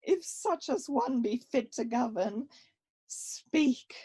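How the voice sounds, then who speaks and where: Woman playing a slow, grave young exiled prince. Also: a little high, expressive, mellow, breathy edge, heavily acted. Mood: bitter, confessing. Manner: deliberate, dramatic verse delivery with weighty pauses. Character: young exiled Scottish prince, grave, self-accusing, testing. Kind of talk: radio play Shakespeare acting.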